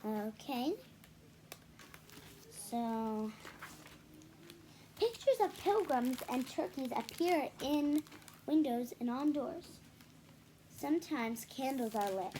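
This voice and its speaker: A woman's voice: high-pitched, lively intonation, takes it slowly, long pauses, dark tone, slight background noise, rough recording. A woman reading a children's picture book aloud, adding casual explanatory asides for young listeners. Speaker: read-aloud storyteller for children.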